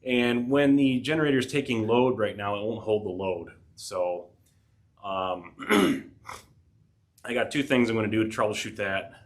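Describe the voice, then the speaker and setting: A man's voice: on the low side, a little slow. A man hosting a DIY tech channel, talking casually through a repair with a head cold, rambling with fillers and dry asides. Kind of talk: casual DIY workshop narration. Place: home workshop.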